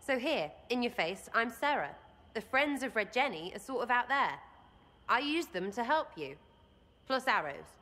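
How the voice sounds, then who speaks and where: A woman's voice: on the high side, big pitch swings, moderate pace, bright, forceful tone, heavily acted. A woman voicing a brash, irreverent young rogue in a video game, with an English accent and a blunt, slangy, rambling manner. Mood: animated, mocking.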